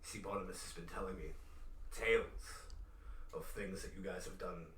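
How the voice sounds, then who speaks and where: A man voicing a gracious, regal king in a tabletop game, speaking in measured, formal phrases. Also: low-pitched, steady tone, a bit bright. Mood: grateful, solemn. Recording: roomy, distant mic with faint noise.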